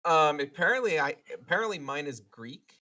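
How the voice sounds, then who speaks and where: A man's voice: fairly high pitch, expressive, moderate pace, bright, forceful tone. A man talking casually as a panelist on an online podcast call. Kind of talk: casual chat.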